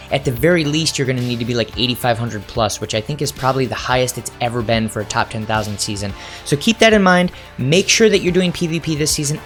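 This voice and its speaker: A man's voice: medium pitch, fairly bright tone. A man talking as a gaming YouTuber, explaining and advising viewers directly in a conversational commentary style. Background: background music.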